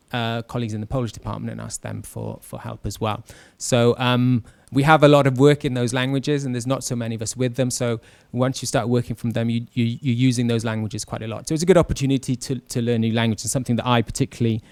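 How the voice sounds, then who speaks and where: Clear-voiced man giving a talk. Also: mid-range voice, steady tone, quick pace, hardly any pauses, darkish tone, no room sound. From West Wales. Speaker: panel speaker, translator. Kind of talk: informal careers talk to an audience. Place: classroom with a seated audience. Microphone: handheld microphone held close.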